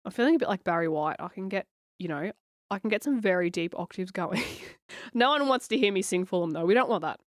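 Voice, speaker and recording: Hoarse woman, dry close voice. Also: mid-range voice, lively intonation, fast, no room sound. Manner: chatty, encouraging, reassuring. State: hoarse from a cold, scratchy. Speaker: coloring channel host. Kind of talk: voice-over coloring tutorial.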